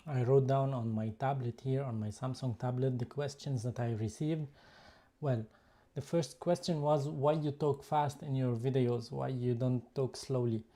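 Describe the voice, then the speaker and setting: Lebanese guy hosting a photo live stream, super dark mellow voice, chatty, showing off his gear. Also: medium pitch, steady tone, normal speed. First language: Lebanese Arabic. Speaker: YouTube photography channel host. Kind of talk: casual live stream talk.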